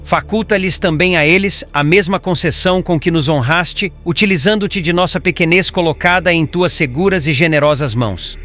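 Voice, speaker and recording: A man's voice: on the high side, normal speed, few pauses, a bit bright, faint music behind, lightly acted. A man reading the part of a solemn, compassionate spirit mentor in an audiobook, with formal, elevated diction. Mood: prayerful. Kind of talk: scripted audiobook character reading.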